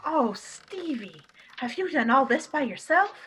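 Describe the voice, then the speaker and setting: Woman playing a brisk wife, high lively voice. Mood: gentle, wry. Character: brisk, firm, kindly wife running a London cinema. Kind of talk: live radio play acting. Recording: clearly noisy streamed audio, clicks.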